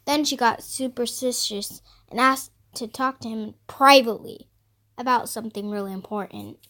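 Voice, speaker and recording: A woman's voice: a little high, normal speed, sharp tone. A woman voicing an anxious young teenage girl who reads her diary in a melodramatic, emphatic audiobook style. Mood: indignant. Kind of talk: first-person audiobook diary narration.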